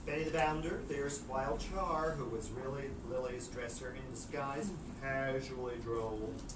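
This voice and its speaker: A man's voice: mid-range voice, fairly even intonation, moderate pace. A man reading a novel aloud as narrator, with arch, florid, theatrical delivery. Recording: noisy, echoey room from far off.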